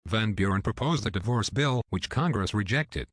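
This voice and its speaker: A man's voice: on the low side, lively intonation, normal speed, dry recording. A man reading scripted educational history narration as the narrator.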